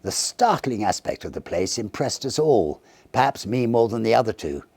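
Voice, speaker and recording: Male narrator, very low voice, husky and gravelly, theatrical Victorian ghost story reading, deliberate phrasing. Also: sing-song, a little mellow, no room sound. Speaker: audiobook narrator and reader.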